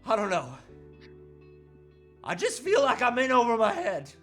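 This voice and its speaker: A man's voice: extremely high pitch, huge pitch swings, a little slow, a bit bright, faint music behind, heavily acted. A man acting a reluctant, overwhelmed family man in a comedic table read, hesitant and protesting.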